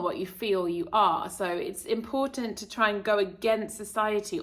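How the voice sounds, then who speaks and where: A woman talks straight to her viewers in a casual, earnest way. She drifts through her thoughts and corrects herself mid-sentence, and her voice sits at an ordinary middle pitch. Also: a bit bright. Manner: earnest, rambling, with fillers and self-corrections. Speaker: channel host. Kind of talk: casual spiritual self-help talk to camera.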